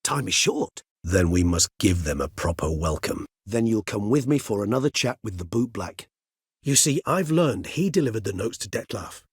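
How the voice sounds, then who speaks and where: An erudite, world-weary vampire gentleman from a fantasy video game. His voice is low and very clean, recorded close and dry. He speaks formally and eloquently, weighing his words with reflective, gentle gravity. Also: sing-song, husky, dry recording, exaggerated voice. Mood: grave, urgent. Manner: formal, eloquent, measured with thoughtful pauses. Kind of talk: video game character dialogue.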